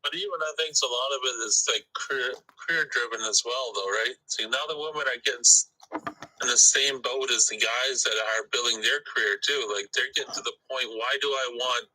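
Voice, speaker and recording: A man's voice: mid-range voice, a little fast, bright, forceful tone, rough recording. A man chatting casually as a panelist on a talk show. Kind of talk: casual chat. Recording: faint noise with clicks.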